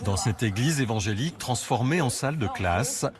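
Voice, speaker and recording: A man narrating a documentary with a lively, rising and falling delivery. Loud music plays under his voice-over, and his pitch sits in a middle range. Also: normal speed.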